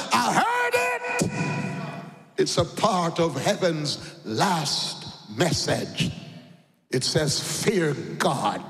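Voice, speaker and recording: A man preaching a fiery sermon, shouting and sing-song, with dramatic rises and drops. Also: high-pitched. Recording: echoey hall with long reverb.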